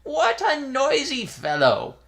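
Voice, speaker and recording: A fussy, excitable old temple priest who drags out his exclamations, as a storybook reader puts him on. The voice is pushed high and loud, with a lively, swooping delivery. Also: slow pace, full character voice. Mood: scolding.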